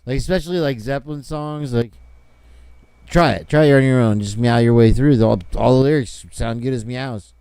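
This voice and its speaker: A man's voice: medium pitch, normal speed, mellow, clean tone, no room sound. A man hosting a casual livestream, joking and rambling and laughing often. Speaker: host.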